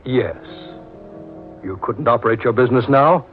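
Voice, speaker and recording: An actor playing a calculating doctor and blackmailer, clipped and formal, in a melodramatic old horror radio drama. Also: male voice, mid-range voice, moderate pace, lightly acted. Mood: businesslike. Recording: tinny old radio recording with music.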